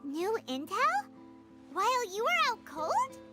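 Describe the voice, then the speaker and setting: A woman voicing a small, chatty, childlike fairy companion in a video game, squeaky and eager. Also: wildly swooping pitch, takes it slowly, loud music behind the voice, full character voice. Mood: curious. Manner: refers to self by name, eager.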